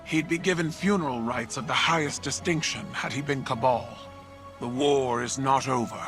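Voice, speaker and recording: An actor playing a proud, honor-bound alien military leader in a game, speaking gravely and formally. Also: male voice, mid-range voice, moderate pace, music in the background, lightly acted. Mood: solemn, defiant. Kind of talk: video game cutscene acting.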